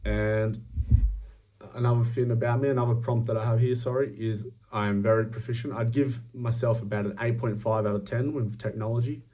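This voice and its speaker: A young man with an Australian accent giving a self-recorded job application pitch, upbeat and self-promoting, with many ums and restarts. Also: steady tone, a little fast, warm tone, recorded from a distance.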